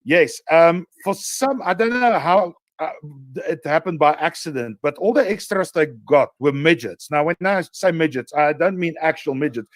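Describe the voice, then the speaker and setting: Man, slightly high, dry. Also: animated, moderate pace, no room sound. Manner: rambling storyteller, hesitant fillers. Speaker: co-host. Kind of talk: casual interview chat.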